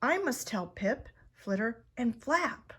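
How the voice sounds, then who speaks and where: A woman's voice: a little high, animated, slow pace, a bit bright, slightly rough, exaggerated voice. A woman voicing an earnest, innocent young female bat, the heroine of a children's storybook. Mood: excited.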